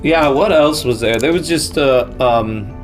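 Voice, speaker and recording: A man's voice: medium pitch, unhurried, soft background music. A man from the United States hosting a podcast, chatting casually and jokingly, rambling a little and laughing often. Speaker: podcast host. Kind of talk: casual comedic chat.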